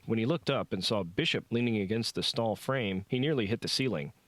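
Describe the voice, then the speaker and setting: A man narrates a novel in a scripted storytelling style. His voice sits on the low side, and the recording is dry and close, with very little room sound. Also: expressive, fairly quick, no echo. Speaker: audiobook narrator.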